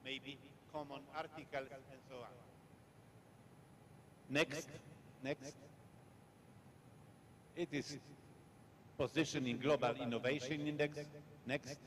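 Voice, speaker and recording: Polish-accented male guest lecturer, slow and halting, faint rumble behind. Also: steady tone, fairly bright tone. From Poland. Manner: halting, repetitive, short fragmented phrases. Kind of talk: academic lecture with slides. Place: lecture hall.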